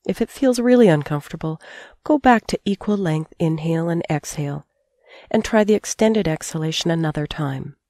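A middle-aged woman guiding a sleep meditation as a teacher, calm and soothing, speaking in measured phrases with long pauses. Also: low-pitched, no room sound, studio quality. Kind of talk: guided sleep meditation narration.

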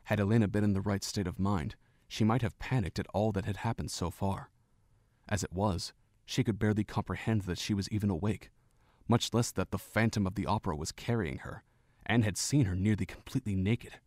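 Low-voiced male story narrator. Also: fairly quick, a little mellow, dry recording, clean studio sound. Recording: home narration with faint music.

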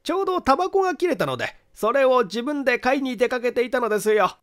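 A male reader puts on an odd, evasive man from a detective story. His voice sits very high and swings about. He talks with pompous, coy significance, as if always excusing himself. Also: very animated, fast, a bit bright, full character voice. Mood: excuse-making. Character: evasive, self-important, oddly behaved man, Akai.

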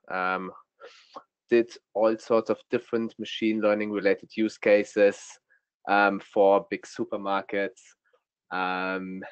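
A man with a German accent, speaking as a guest data scientist in a webinar, often pausing with ums. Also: on the low side, highs cut off. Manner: hesitant, many ums. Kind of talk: webinar presentation.

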